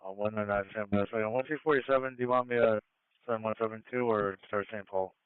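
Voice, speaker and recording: Low-voiced man on tinny emergency radio. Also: fairly even intonation, quick pace, smooth, no room sound. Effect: two-way radio transmission. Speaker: emergency services radio operator. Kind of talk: terse radio traffic. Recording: tinny, phone-like scanner radio feed.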